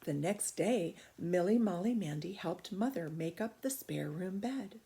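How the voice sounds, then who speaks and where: A woman reading a children's story aloud in expressive narration. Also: lowish voice, a little slow.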